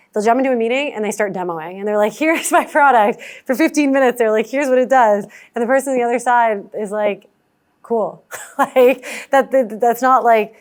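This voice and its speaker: A woman venture investor answering audience questions in casual, chatty talk, filling her speech with "like" and acting out other people's lines. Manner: chatty, heavy use of "like" fillers; acts out founders' lines in a higher voice.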